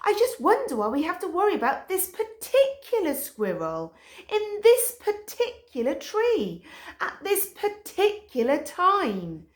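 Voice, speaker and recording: A woman voicing a calm, level-headed male dog who coaxes his friend along, in a roomy echo. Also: extremely high pitch, a little slow, voice pushed up, full character voice. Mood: coaxing. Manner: coaxing, reasonable.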